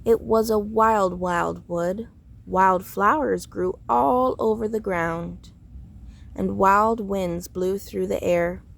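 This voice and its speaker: Slow-reading woman with a creaky voice. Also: medium pitch. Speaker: storybook reader. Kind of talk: read-aloud children's story narration.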